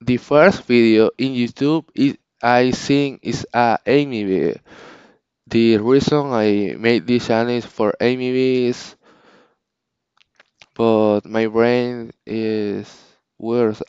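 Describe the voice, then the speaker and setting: A man whose first language is Spanish, talking casually to his channel's viewers in halting English, often restarting and searching for words. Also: medium pitch, darkish tone, smooth, no echo, muffled recording. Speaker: channel owner. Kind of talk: casual unscripted vlog talk.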